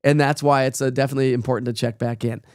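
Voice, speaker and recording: A man's voice: mid-range voice, steady tone, racing, darkish tone, smooth, no room sound, studio quality. A male meteorologist, giving a chatty, rambling forecast while losing his voice to a cold. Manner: chatty, folksy, rambling with fillers. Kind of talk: casual live weather forecast.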